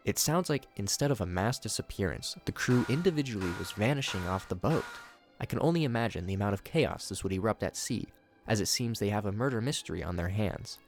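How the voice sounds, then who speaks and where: A man's voice: on the low side, animated, fairly quick, soft background music. A man hosting a video, reading scripted narration mixed with his own commentary. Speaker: video host reviewing horror shorts.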